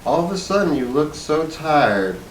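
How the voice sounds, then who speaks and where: A man voicing a simple, sweet, chatty middle-aged wife with folksy, drawn-out phrasing in a storybook reading. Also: on the high side, very animated, pitched above the performer's own voice. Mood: coaxing, concerned. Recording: roomy, distant mic with faint noise.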